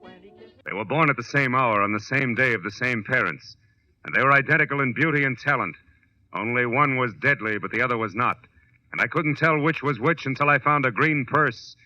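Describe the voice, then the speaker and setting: A man's voice: mid-range voice, animated, moderate pace, sharp tone. A man playing a hard-boiled private detective, narrating his case tersely and dramatically in 1940s radio style. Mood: ominous, dramatic. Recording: dull vintage 1940s radio recording.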